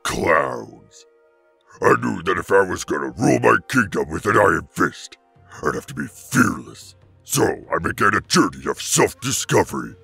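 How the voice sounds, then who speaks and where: A man voicing a huge, hot-tempered turtle-dragon tyrant in a cartoon, gravelly and raspy, with bombastic, theatrical delivery. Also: low voice, sing-song, moderate pace, bright, forceful tone, no room sound, faint music behind, heavily acted. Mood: grandiose, brooding. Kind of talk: cartoon fan-dub acting.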